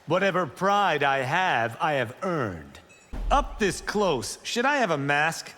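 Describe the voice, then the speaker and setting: Proud young fighter, reverberant. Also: male voice, fairly high pitch, sing-song, slow, pushed and bright, full character voice. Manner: self-assured, cocky. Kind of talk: video game character dialogue. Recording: reverberant game audio, faint noise.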